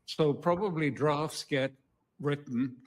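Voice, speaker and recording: Slow-speaking man giving deliberate commentary. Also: a little high. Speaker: interview guest, political analyst. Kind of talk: interview commentary.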